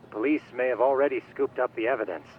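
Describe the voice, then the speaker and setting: Clever informant on a muffled call. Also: male voice, medium pitch, fast talker. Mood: helpful, matter-of-fact. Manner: articulate, helpful, slightly formal. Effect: phone call filter. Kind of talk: video game dialogue. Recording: muffled phone call with background noise.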